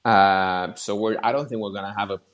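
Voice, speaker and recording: A man's voice: on the low side, fairly even intonation, brisk, a bit bright. A man giving casual, rambling market commentary on a podcast, often restarting his sentences. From outside the US.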